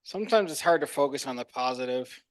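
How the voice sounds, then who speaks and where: Smooth-voiced man giving a measured speech. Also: medium pitch, expressive, no room sound. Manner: earnest, measured, reflective. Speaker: club member giving a prepared speech. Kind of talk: prepared speech. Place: meeting room.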